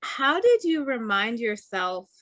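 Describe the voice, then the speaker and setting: A woman's voice: on the high side, very animated, takes it slowly, fairly bright tone, no echo. A woman hosting a podcast, chatting casually in an effusive, slangy way. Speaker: podcast host. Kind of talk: casual interview chat.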